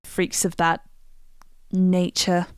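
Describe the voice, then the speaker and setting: Actress voicing a fantasy game heroine, composed, wry, unhurried, smooth and clear. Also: female voice, on the low side, completely flat delivery, no echo, studio quality. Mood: wry, recounting. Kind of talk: scripted game dialogue.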